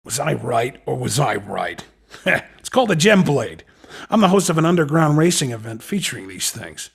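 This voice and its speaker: Underground race recruiter, video game villainous hustler, cocky, insinuating, husky, very lively swoops in pitch. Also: male voice, normal speed, exaggerated voice. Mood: slick, enticing. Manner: cocky, insinuating salesman patter. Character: slick, pushy underground hoverboard race recruiter, male hustler. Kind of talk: video game character dialogue.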